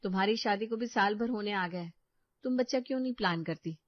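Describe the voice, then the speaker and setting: A woman acts out a troubled young wife in a cartoon story, serious and weary, full of questions. Her voice sits at a medium pitch in a dry, slightly muffled recording. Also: a little fast, no room sound, close to an everyday voice. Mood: weary, sad. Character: troubled, suspicious young married sister-in-law.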